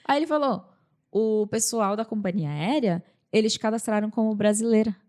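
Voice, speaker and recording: A Brazilian woman hosting a podcast, telling anecdotes in an animated, slangy way with a Brazilian Portuguese accent. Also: medium pitch, fairly quick. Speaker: podcast host. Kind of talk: casual chat, anecdotes.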